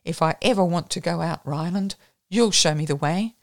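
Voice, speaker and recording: A hoarse, brandy-soaked young man in grief, voiced by a woman reader, rambling in a low, maudlin way. The recording is close and dry. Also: moderate pace, no echo, natural voice. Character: grieving, drunk young male poet.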